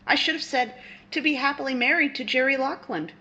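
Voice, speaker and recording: Woman acting wistful young wife on the stand, old radio melodrama, bright voice, bit dull audio. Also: high-pitched, fairly quick. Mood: wistful, pleading.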